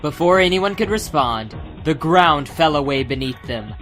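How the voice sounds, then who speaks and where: A man's voice: fairly high pitch, expressive, normal speed, fairly bright tone. A man giving a scripted, dramatic narration of a fantasy audiobook. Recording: music behind the voice.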